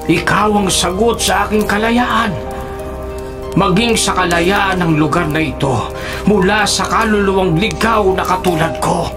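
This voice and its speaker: A man's voice: high voice, a little slow, music in the background. An actor playing a menacing old male spirit in a radio drama, with ominous, theatrical and formally old-fashioned phrasing. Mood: mocking, menacing. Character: menacing old male wandering spirit seeking release from curse.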